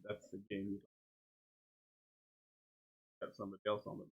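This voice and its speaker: Husky guy co-commentating a ball game, casual short phrases, dull muffled audio. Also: fairly low pitch, completely flat delivery, moderate pace. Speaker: guest co-commentator. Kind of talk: casual chat during a game.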